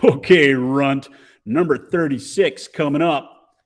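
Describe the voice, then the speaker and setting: A man voicing a cocky, bullying boy pitcher who taunts, snarls and roars in a children's storybook reading. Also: slow, natural voice. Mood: mocking.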